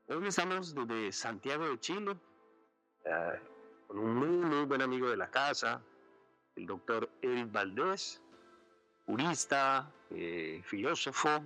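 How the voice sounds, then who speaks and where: A man hosting a university interview program, introducing his guest with pauses and fillers. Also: mid-range voice, pushed and bright, low-quality recording. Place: outdoors in a city neighborhood.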